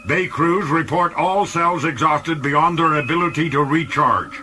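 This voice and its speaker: A man's voice: a little high, measured pace, background noise, pitched above the performer's own voice, natural voice. Man doing a clipped, grim starship bridge officer. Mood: grim, urgent. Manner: clipped military reporting. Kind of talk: audiobook character dialogue.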